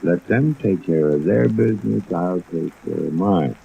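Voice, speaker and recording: Surly male witness, very low voice, gravelly, terse, vintage radio drama, dull recording. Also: moderate pace. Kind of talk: 1950s radio drama acting. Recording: muffled old radio, faint rain noise.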